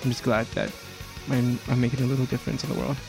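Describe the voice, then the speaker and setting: A young man giving a personal radio testimonial in an earnest, halting way, often restarting his words. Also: mid-range voice, little pitch movement, gravelly. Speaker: youth radio reporter. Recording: music bed behind the voice.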